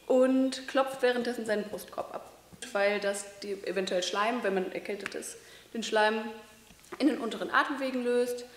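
A young woman with a fairly high voice explains breathing and voice exercises, stopping now and then with fillers. She stands in a large hall with a wooden floor, and the room gives her voice a clear echo. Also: slight background noise. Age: young adult. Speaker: voice training student. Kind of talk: demonstrating voice exercises. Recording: echoey hall.

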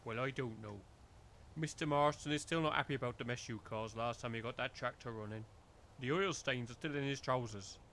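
A man's voice: mid-range voice, fairly quick, a bit bright, slight background noise, natural voice. A man playing a weary, wary village working man who grumbles in rustic dialect, in a comedy audio drama. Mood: grudging.

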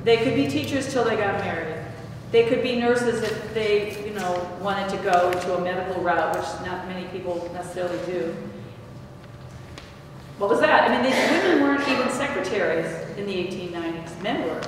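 A woman's voice: medium pitch, moderate pace. Older woman on a panel in an echoey hall. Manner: conversational, restarts and self-corrections. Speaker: panel speaker, biographer. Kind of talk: answering audience questions on a panel. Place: large echoey hall with stone walls. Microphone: table mic, picked up from a distance. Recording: echoey hall, distant mic, audible noise.

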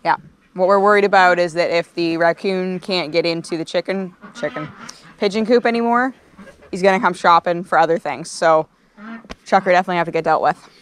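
A woman's voice: lowish voice, steady tone, brisk, a little hiss or hum. A woman in her thirties from Canada, explaining things casually to camera as a homesteader. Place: farm coop and yard.

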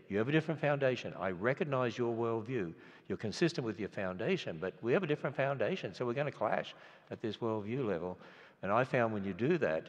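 An older man with an Australian accent, preaching in an earnest, conversational way full of restarts. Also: fairly low pitch. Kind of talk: storytelling sermon. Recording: lo-fi sound in a reverberant hall.

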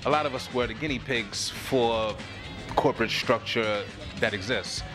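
A man's voice: medium pitch, moderate pace, over music. A middle-aged man, a rapper, talking earnestly and reflectively in a casual interview, with a lot of "you know what I'm saying". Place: lounge room on a leather couch. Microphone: handheld mic held by interviewer.

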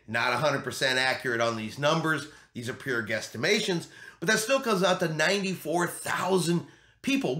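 A man's voice: a little high, sharp tone. A middle-aged man explaining things to camera in an emphatic way, repeating his key points as a vlog host. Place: small home studio room, indoors. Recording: roomy indoor echo.

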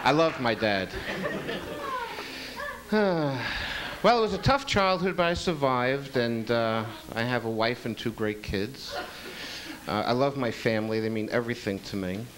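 A man's voice: mid-range voice, measured pace. A middle-aged man from Queens, doing a self-deprecating stand-up set on a small club stage into a handheld mic, the audience laughing. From Queens, New York. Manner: self-deprecating, deadpan delivery. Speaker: stand-up comedian. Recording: loud noisy live club recording.

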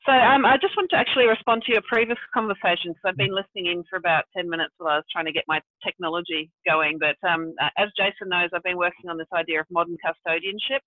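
A woman's voice: lowish voice, fairly even intonation, quick pace, few pauses, no echo. A woman who grew up in Brazil and South Africa, thinking aloud as a guest on a video call, with many fillers. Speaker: guest, regenerative development practitioner. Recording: tinny phone-like dry audio.

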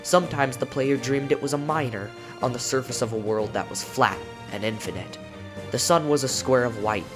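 A man narrating a poem as a dramatic reading, with theatrical delivery and deliberate pauses. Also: mid-range voice. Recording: music behind the voice.

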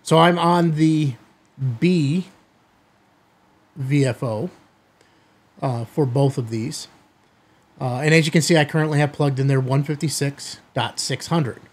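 A middle-aged man hosting a hobby video, giving a product demonstration to camera in a husky voice. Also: fairly high pitch, a little slow, pauses often. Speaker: host of a ham radio video channel. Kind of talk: product test demo to camera. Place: small indoor studio room.

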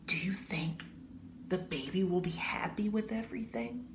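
A man voicing a gentle, earnest, anxious little boy from a storybook, speaking tentatively. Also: high voice, moderate pace, voice pushed up. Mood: whispered worry. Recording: roomy echo, audible background noise.

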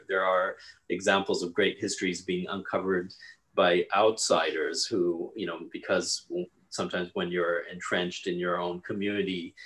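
A man in his fifties, a guest architect speaking in a measured way with many fillers over a video call from a living room. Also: low-pitched, a bit bright, low-quality recording. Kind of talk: panel conversation.

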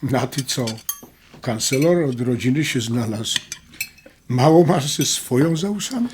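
An actor playing a calm, philosophical middle-aged husband and father in a naturalistic audio drama. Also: mid-range voice, a little slow, close to an everyday voice. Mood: teasing, easygoing. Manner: philosophical, conciliatory. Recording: light background noise with tableware clinks.

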